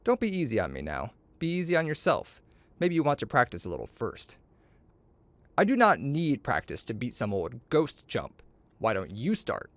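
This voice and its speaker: Male storyteller, lively and swooping, playful with boastful dialogue, recorded dry and close. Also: mid-range voice, moderate pace, dry recording. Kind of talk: animated storybook reading with character dialogue.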